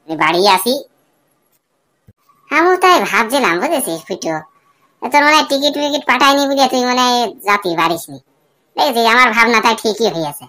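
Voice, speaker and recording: A woman's voice: on the high side, big pitch swings, a little fast, pauses often, bright, forceful tone. A woman voicing crude, teasing young village men in a cartoon comedy, with slangy, coarse banter. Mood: explaining.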